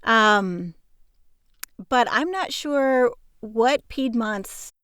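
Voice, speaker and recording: Slightly high-voiced woman, dry sound. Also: lively intonation, very slow, a bit bright, clean tone, no room sound. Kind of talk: casual chat.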